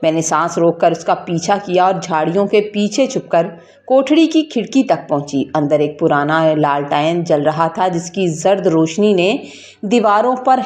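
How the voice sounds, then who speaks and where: A woman's voice: lowish voice, a little mellow. A woman reads a scripted first-person story as a storyteller, with an earnest, emotive, dramatic delivery. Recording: music bed under the voice. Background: background music.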